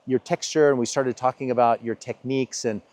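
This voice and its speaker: An American man interviewing a painter, asking warm leading questions in an easy conversational way. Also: mid-range voice, steady tone, a little fast, no echo. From United States. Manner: friendly, leading questions, talks over answers.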